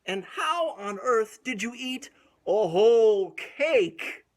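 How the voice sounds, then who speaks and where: Guy doing a storybook dog owner, male, high and emphatic, stretches words, kinda exasperated. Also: lively intonation. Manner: emphatic, high-pitched, drawn-out. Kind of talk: picture-book character voice.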